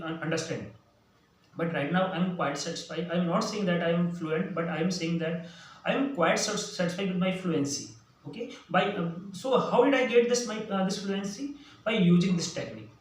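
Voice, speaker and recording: Man, Indian accent, Hindi native, high voice, hesitant with fillers, English teacher, echoey room, distant mic. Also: slight background noise. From central India. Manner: hesitant, many fillers and restarts. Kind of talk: spoken English lesson.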